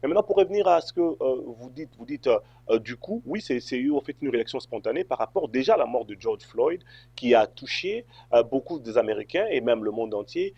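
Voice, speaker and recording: A man's voice: mid-range voice, lively intonation, a little fast, mellow, slightly rough, no room sound, highs cut off. A man from Congo answering interview questions, hesitant, with many fillers and restarts. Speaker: interview guest.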